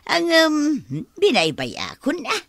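A woman playing a chatty, wheedling older village aunt in an Ilocano comedy radio drama, coaxing with drawn-out exclamations. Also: high-pitched, huge pitch swings, a bit bright, dry recording, clean studio sound, heavily acted.

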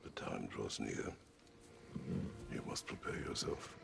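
An actor playing a grim, stern warrior father with a very deep, gravelly, slow voice, in a video game cutscene. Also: expressive, over music, full character voice. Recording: game audio with loud score.

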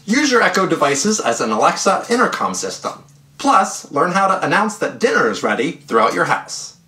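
A man in his thirties hosting a tech tutorial, speaking upbeat and clear straight to the camera in a small room at home. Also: fairly high pitch, big pitch swings, moderate pace, noisy background. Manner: upbeat, clear presenter delivery. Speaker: tech channel host. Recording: roomy echo.

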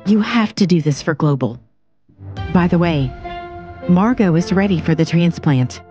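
Actress as shrewd big-sister strategist: mellow voice, animated pitch, assertive, drama medium. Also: female voice, unhurried, dull audio, soft background music. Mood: calculating. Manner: assertive, advising. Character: shrewd, commanding older sister scheming for the company.

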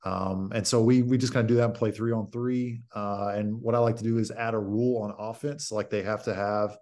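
A man in his thirties talks through a basketball drill over a video call from a home office. His voice is fairly low and steady. He explains plainly, with frequent fillers. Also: brisk. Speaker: basketball coach guest. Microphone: video call webcam.

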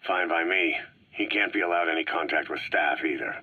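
A man's voice: low voice, a little fast, slightly rough, no echo. A man acting a suspicious mercenary commander in a video game, laconic and probing. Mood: guarded. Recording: dull, dry cassette-style recording.

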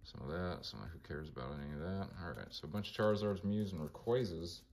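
A man with a monotone voice casually talking through his card collection, mumbling names as he flips through binders. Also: low voice, fast. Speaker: collector vlogger.

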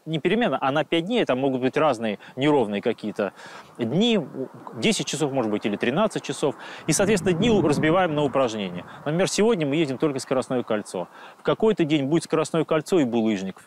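A man in his forties, a test expert explaining on camera with careful, pausing phrasing, recorded outdoors on a lapel mic. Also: mid-range voice, a little mellow. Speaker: car endurance test expert. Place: outdoors on an open tarmac test track.

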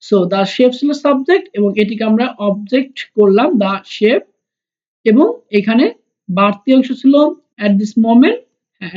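Lady teacher, pretty high voice, explains stuff step by step n repeats key terms, dull lofi recording. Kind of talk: explanatory grammar lesson for school students.

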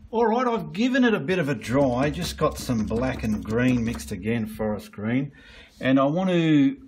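A man's voice: medium pitch, breathy edge. A man giving a casual, blunt painting tutorial while he works at an easel, with the odd mild swear.

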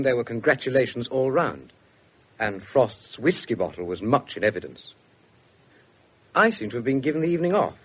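An actor playing an English police officer, narrating a radio drama in a measured way. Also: male voice, medium pitch, breathy edge.

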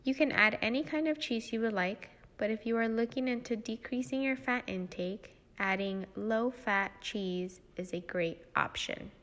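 A woman's voice: on the high side, moderate pace, few pauses, fairly bright tone. A young woman explaining a cooking demonstration step by step, in an instructional style. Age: young adult. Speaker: cooking demo host, health educator.

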